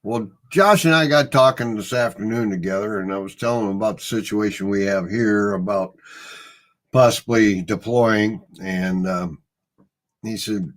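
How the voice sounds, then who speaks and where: A middle-aged man with a Midwestern accent, hosting a casual live chat and telling stories in a rambling, easygoing way. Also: lowish voice. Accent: Midwestern American. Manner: easygoing, rambling storyteller, chuckles often. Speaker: host.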